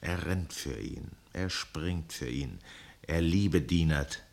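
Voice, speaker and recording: A man narrating a 1960s radio play in a measured, precise literary style with dramatic pauses. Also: extremely low pitch, creaky.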